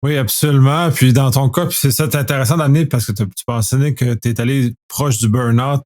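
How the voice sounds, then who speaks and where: A man's voice: medium pitch, a little fast, darkish tone, no echo, studio quality. Man hosting a podcast. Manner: loose, restarting phrases while setting up questions. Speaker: podcast host. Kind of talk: conversational interview.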